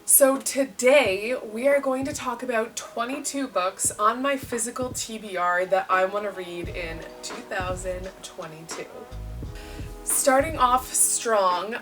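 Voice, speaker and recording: A woman book vlogger chatting casually to camera, a little croaky after a cold, self-deprecating and rambling. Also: fairly high pitch. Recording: distant voice with clear music behind.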